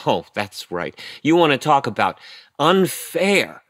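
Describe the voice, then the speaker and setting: Stern middle-aged dad, indignant and blunt, swooping emphatic delivery, close dry sound. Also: male voice, mid-range voice, sing-song, slow, fairly bright tone, no room sound. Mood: indignant, bitter. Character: stern, indignant immigrant-family father, engineer. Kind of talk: audio play acting.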